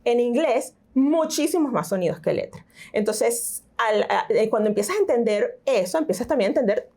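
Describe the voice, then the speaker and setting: A woman's voice: a little high, moderate pace. Venezuelan woman explaining phonics. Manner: explanatory, animated, frequent fillers. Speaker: teacher and tutor, interview guest. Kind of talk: conversational interview.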